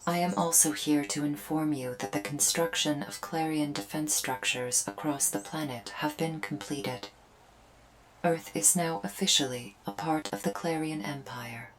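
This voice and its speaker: A woman's voice: low voice, unhurried, slight background noise, low-quality recording. A woman playing a remorseful alien invader in an ASMR roleplay, speaking in formal, precise, stilted phrases. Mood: formal, assuring. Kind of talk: scripted ASMR roleplay to the listener.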